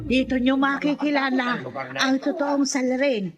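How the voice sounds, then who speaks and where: High-voiced, resolute mother. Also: steady tone, measured pace, music in the background. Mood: grim resolve. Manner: urgent, insistent, melodramatic. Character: resolute mother fighting for her child. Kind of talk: Filipino radio horror drama acting.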